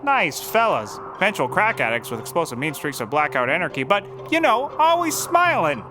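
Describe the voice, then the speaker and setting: An actor playing a cocky, oblivious detective who rambles with pompous, deadpan self-assurance in a scripted audio comedy. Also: male voice, a little high, moderate pace, background noise. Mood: dreamily confident. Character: cocky dim-witted male private detective, oblivious and drug-loving.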